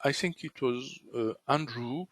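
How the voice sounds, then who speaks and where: A man's voice: medium pitch, unhurried, a bit bright, dry recording. A Belgian man with a French accent speaks English earnestly and carefully as he leads a trading course. His voice sits in a middle range, recorded close and dry. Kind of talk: webinar talk.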